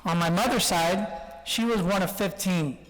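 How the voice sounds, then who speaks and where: A man's voice: sits high, normal speed, fairly bright tone. A man in his forties from Colombia who grew up partly in Texas, giving an informal guest speech full of anecdotes and self-deprecating jokes. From Colombia, then Texas from childhood. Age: 40s. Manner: joking, anecdotal storytelling. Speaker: guest speaker. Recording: reverberant hall, speech over PA.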